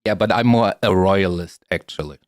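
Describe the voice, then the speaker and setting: A man's voice: low voice, normal speed, dry recording, studio quality. A man from Europe chatting casually, blunt and teasing, sometimes hesitating over words. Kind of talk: casual chat.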